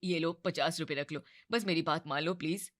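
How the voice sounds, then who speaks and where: A woman's voice: deep voice, moderate pace, fairly bright tone, dry recording, clean studio sound. A woman voicing a flustered, anxious young man who keeps pleading and worrying.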